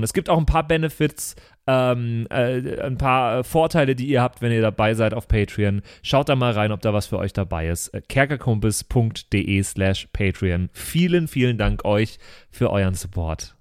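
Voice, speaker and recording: A man's voice: medium pitch, normal speed, clean tone. A man hosting a podcast in casual, off-script chat, with an informal, jokey manner. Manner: jokey, informal, breaking into chuckles. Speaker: podcast host. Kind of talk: casual off-script chat, listener thanks and shout-outs.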